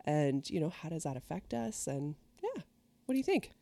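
A middle-aged woman, an ordained minister, hosting a podcast: a scripted welcome, then animated, laughing chat. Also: deep voice, moderate pace, gravelly, no room sound. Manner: animated storyteller who laughs often.

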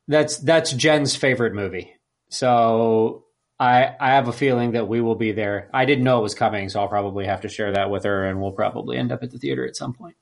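A man's voice: mid-range voice, brisk, gravelly. A man co-hosting a podcast, chatting casually in a hesitant, rambling way. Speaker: podcast co-host. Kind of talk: casual panel chat.

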